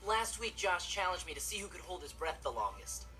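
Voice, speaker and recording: A young man playing a cool, lazy, sarcastic teenage stepbrother with deadpan delivery in a sitcom monologue. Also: sits high, brisk, full character voice. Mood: smug. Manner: laid-back, deadpan. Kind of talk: scripted sitcom monologue to camera. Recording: music behind TV dialogue.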